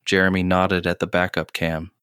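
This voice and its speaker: A man narrates a scripted audio drama in a low, smooth voice. His delivery is measured and terse with very little rise or fall. The sound is close and dry. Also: completely flat delivery, a bit bright, no room sound.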